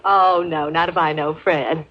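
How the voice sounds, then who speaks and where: An actress playing a polite, mildly puzzled housewife and mother in a 1950s radio drama, genteel and gently inquiring. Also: low-pitched, fairly even intonation, measured pace. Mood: polite, sociable. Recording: muffled vintage 1950s radio recording.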